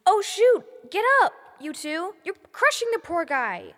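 A woman playing a bold, excitable young girl adventurer in a children's audio drama, with an eager, blurting, informal delivery. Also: very high-pitched, measured pace, big-room sound, full character voice. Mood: alarmed.

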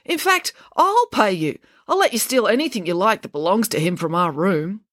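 A woman's voice: mid-range voice, sing-song, moderate pace, a bit bright, no echo, clean studio sound, voice pushed up. A woman voicing an earnest, chatty schoolboy in an audiobook, eager and pleading. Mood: eager, explaining.

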